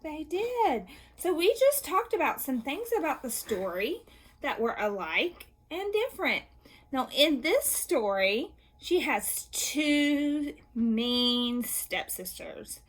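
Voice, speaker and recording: A woman's voice: sits high, sing-song, takes it slowly. A woman teacher reading aloud to young children, asking questions, answering them herself and offering encouragement. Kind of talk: read-aloud and question-and-answer lesson for kids.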